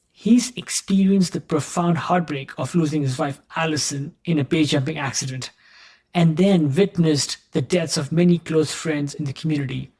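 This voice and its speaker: A man's voice: fairly high pitch, a little mellow. Earnest podcast host greeting his friends. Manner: earnest, encouraging, addresses listeners as friends. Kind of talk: scripted intro then interview questions.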